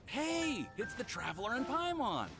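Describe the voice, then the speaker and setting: An amiable young male alchemist from a video game, with a lively voice that jumps around in pitch. He is nervous and sheepish, prone to stammering. Music is clearly audible behind him. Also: moderate pace, full character voice. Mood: friendly.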